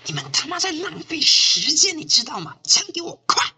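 A man's voice: extremely high pitch, big pitch swings, brisk, pushed and bright, slight background noise, heavily acted. A man playing a hot-tempered, foul-mouthed police squad captain in a radio drama, impatient and agitated. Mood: furious, impatient.

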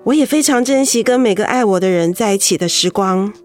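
A woman playing a gentle young wife who keeps a brave face, in a radio drama. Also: mid-range voice, lively intonation, a little fast, soft background music, close to an everyday voice. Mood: grateful, calm.

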